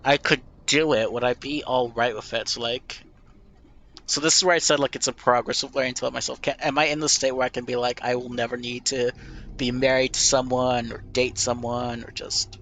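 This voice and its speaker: A nasal-voiced young man casually reflecting out loud on personal questions while playing a game, thoughtful and self-deprecating. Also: medium pitch, flat delivery, fairly quick, slight background noise.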